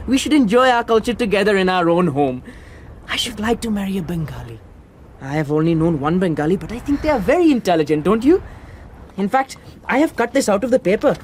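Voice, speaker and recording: A man's voice: high-pitched, a little hiss or hum. A man playing an earnest, eager young Indian prince in a radio drama, speaking formally.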